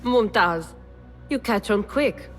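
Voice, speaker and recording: Blunt, scornful assassin. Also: female voice, mid-range voice, lively intonation, slow, faint music behind. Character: blunt sharp-tongued woman assassin.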